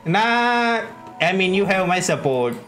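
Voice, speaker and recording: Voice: medium pitch, big pitch swings, very slow, a bit bright. A streamer giving casual gameplay commentary, often interjecting and talking back to the characters. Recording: game music behind. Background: game music and dialogue.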